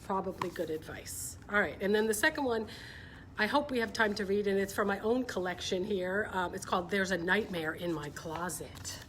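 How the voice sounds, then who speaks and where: Middle-aged female story-time host, mid-pitched, informal and chatty with kids, noisy live-stream sound. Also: moderate pace, a bit bright. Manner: chatty, informal, often checking in with young listeners. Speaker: coach hosting a children's story time. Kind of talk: casual livestream chat and picture-book read-aloud. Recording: clear background noise, live stream.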